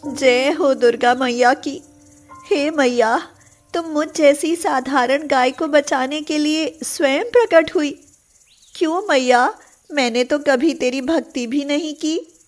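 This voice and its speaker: A woman voicing a long-suffering, devout mother cow in an animated moral story, with plaintive, emotional delivery. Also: high voice, slow, soft background music, voice pushed up. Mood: awed devotion.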